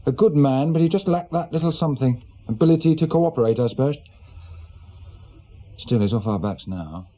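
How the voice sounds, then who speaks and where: A man's voice: mid-range voice, lively intonation, normal speed, long pauses, lightly acted. A man playing a terse, authoritative senior police detective with an English accent in a British radio crime drama. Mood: commanding. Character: brisk, probing male senior police detective in charge. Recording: dull, lo-fi radio drama recording.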